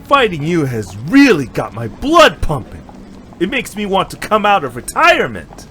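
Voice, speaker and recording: A man playing an eager, good-natured fast-food worker and retired martial arts pupil in an amateur anime-style drama, excitable and boastful but respectful. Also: high voice, a little hiss or hum, heavily acted. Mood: humbled, grateful.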